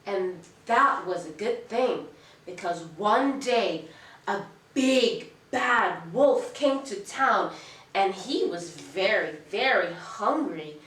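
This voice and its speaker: Slow, echoey woman reading to young children. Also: medium pitch. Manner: expressive, deliberate, teacherly. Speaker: children's storyteller. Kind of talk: read-aloud storytelling with questions to young children. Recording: echoey room, distant mic.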